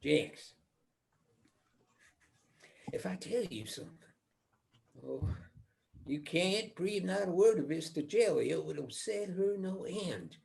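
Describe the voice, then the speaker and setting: An actor playing a gentle, protective coal miner brother in a stage play, folksy and hesitant, with an Appalachian accent. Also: male voice, high voice, lively intonation, slow pace, gravelly, long pauses, heavily acted. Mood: confiding, uneasy.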